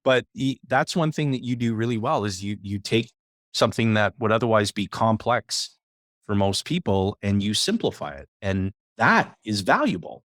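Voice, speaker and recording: A man's voice: on the low side, lively intonation, moderate pace, a bit bright, no echo, studio quality. A man co-hosting a podcast, upbeat and encouraging as he plugs the guest's site. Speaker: podcast co-host. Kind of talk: casual chat and show promotion.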